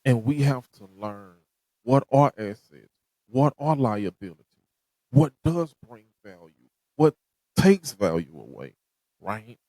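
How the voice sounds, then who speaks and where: A man's voice: medium pitch, sing-song, slow, long pauses, warm tone, no echo, low-quality recording. Man, podcast host, motivational, repetitive, dry room. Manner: preachy, direct, repeats himself. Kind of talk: motivational talk.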